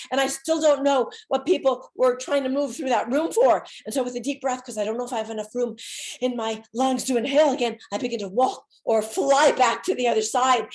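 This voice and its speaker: A woman giving a dramatic reading from a one-woman show, telling her story theatrically and leaning on repeated words for emphasis. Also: on the high side. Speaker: solo stage performer and storyteller.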